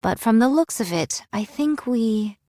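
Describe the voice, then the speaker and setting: A proud android swordswoman speaks in a fairly high voice. Her phrasing is old-fashioned, formal and haughty, with a weary edge. It is game drama acting in a very dry recording. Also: expressive, measured pace, dark tone, no echo. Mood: grave. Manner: formal, archaic, haughty diction.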